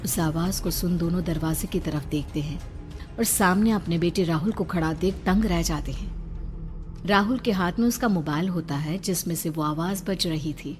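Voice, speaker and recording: A woman's voice: on the low side, moderate pace, a little mellow. A woman storyteller reading a scripted horror tale in a dramatic narrating style. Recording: background music under narration.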